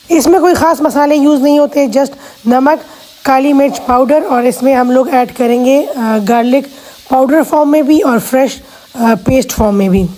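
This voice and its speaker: Female cooking vlogger, husky-hoarse, high and mellow, patient step-by-step narration. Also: low-quality recording. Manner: patient step-by-step instruction, chatty asides. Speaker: cooking channel host. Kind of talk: cooking vlog voice-over.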